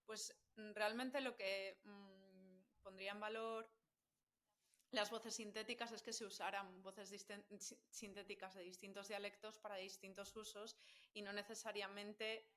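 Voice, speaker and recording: Bright-voiced Madrid woman explaining. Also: mid-range voice, level tone, measured pace. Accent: Madrid Spanish. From central Spain. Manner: explanatory, hesitant fillers, laughs often. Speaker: guest linguist. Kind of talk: casual podcast chat.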